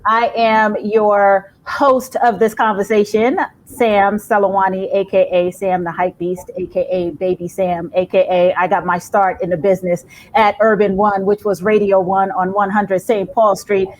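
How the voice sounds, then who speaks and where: A woman's voice: medium pitch, flat delivery, normal speed, low-quality recording. A woman hosting a virtual panel discussion in a chatty, rambling way. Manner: chatty, rambling intros with fillers. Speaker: panel host.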